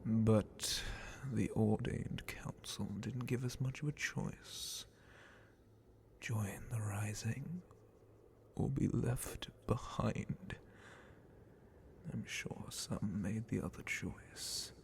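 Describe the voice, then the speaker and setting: A man as a slow, whispering, sadistic old dragon captain. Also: on the low side, exaggerated voice. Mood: wistful musing. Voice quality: breathy, creaky whisper. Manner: slow, deliberate, menacing, condescending. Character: ancient male dragon captain, sadistic, bored, menacing captor. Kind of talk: ASMR roleplay.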